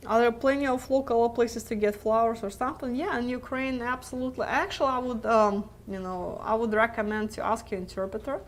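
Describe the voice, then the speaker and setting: A woman's voice: fairly high pitch, fairly even intonation, fairly quick, few pauses. Woman hosting a live q&a stream, chatty, laughs a lot, voice going a bit from talking so much. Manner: chatty, laughs easily, many filler words and restarts. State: losing her voice. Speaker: webcast host answering viewer questions. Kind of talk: live question-and-answer chat.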